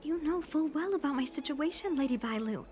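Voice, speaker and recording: High-voiced, guarded young female attendant. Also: lively intonation, brisk, darkish tone, faint music behind. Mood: exasperated. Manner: chiding, distrustful, formal. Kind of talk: anime game voice acting.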